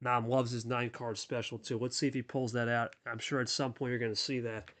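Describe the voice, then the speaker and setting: A man's voice: level tone, a little fast, a bit bright. A man doing casual play-by-play commentary on a card game, chatty and hyped, talking with his co-host. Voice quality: deep radio voice.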